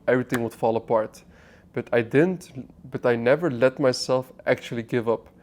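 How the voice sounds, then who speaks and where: A man's voice: medium pitch, darkish tone. Blunt Dutch-raised man in his twenties. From Netherlands. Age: 20s. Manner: blunt, swearing, restarts mid-sentence, addresses viewer directly. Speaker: online business vlogger. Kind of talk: unscripted motivational talk to camera. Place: apartment.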